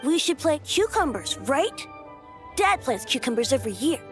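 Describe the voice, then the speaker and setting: A woman's voice: high-pitched, sing-song, moderate pace, faint music behind. A woman voicing an earnest, insistent young village child with simple phrasing in a video game. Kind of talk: video game character acting.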